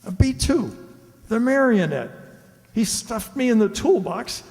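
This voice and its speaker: An actor playing a panicked, indignant husband in a radio play, urgent and exclamatory. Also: male voice, very high-pitched, very animated, measured pace, a little mellow, heavily acted. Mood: frantic, indignant. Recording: echoey hall, long reverb.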